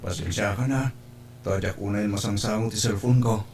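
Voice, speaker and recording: A man's voice: lowish voice, moderate pace, a little mellow, a little hiss or hum, close to an everyday voice. A man acting a weary, dutiful young boyfriend in an Ilocano audio drama, with a subdued, deferential manner. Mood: weary, caring.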